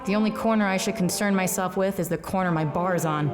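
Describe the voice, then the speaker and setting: A woman's voice: lowish voice, fast talker, close to an everyday voice. A woman playing a hard-drinking, defiant private eye in a noir audio drama, with a sardonic, clipped, tough delivery. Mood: brooding, determined. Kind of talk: noir radio drama acting, monologue and dialogue. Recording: music bed behind voice.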